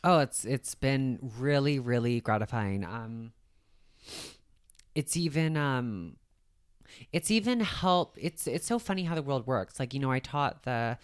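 A woman's voice: extremely low pitch, lively intonation, a little slow, clear, resonant tone. A woman guest in a casual interview, talking informally with lots of filler words and the odd laugh.

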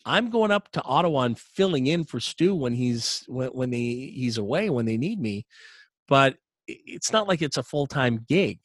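A man's voice: mid-range voice, animated, normal speed, no room sound, studio quality. A middle-aged man, an arena announcer telling his career story as an interview guest in an upbeat, chatty way, often repeating words as he restarts. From Canada.

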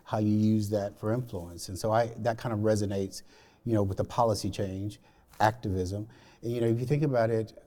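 This man from Alabama has a fairly low voice with a warm, very mellow tone. He talks as a guest in a conversational discussion, laying out his points in a measured, explanatory manner. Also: fairly even intonation. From Alabama, United States. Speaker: podcast guest.

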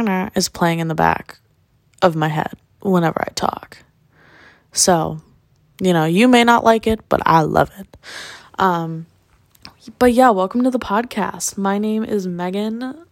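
A woman hosting a casual solo podcast while sick, with a raspy voice, rambling and joking at her own expense. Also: mid-range voice, dry recording, clean studio sound. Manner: rambling and self-deprecating with jokes. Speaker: podcast host; music producer.

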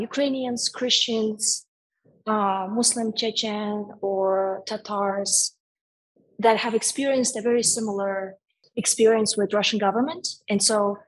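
A woman's voice: mid-range voice, husky, low-quality recording. A woman from a post-Soviet country hosting a podcast interview, explaining background at length before she asks her questions. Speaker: podcast host.

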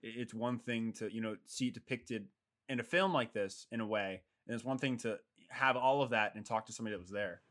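A man's voice: mid-range voice, a little fast, a bit bright. A man co-hosting a podcast, earnest and wordy as he thanks a guest. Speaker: podcast co-host. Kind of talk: casual podcast chat.

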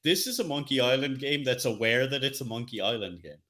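A man's voice: mid-range voice, expressive, fairly quick, a bit bright. A man talking casually about video games as a podcast co-host, in an informal, conversational way.

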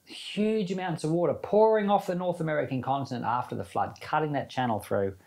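A man's voice: on the high side, a little fast, gravelly, darkish tone. A man giving an informal sermon as a Bible teacher, reading scripture and commenting in a conversational way with rhetorical questions.

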